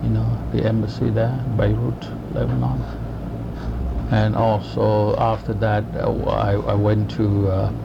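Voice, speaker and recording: A gravelly, low-pitched man in his sixties with a Thai accent speaks slowly. He pauses often and restarts his sentences. It is a muffled tape with steady noise behind him. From Thailand. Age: 60s. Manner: halting, many fillers and restarts. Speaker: interviewee. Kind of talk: oral history interview. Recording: muffled noisy tape recording.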